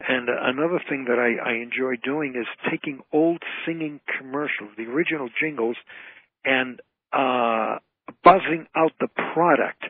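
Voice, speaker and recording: A man's voice: mid-range voice, moderate pace, slightly rough. A man chatting casually as a guest on a radio interview, speaking over a phone line. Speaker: radio show guest, entertainer and trivia author. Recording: tinny, band-limited phone line.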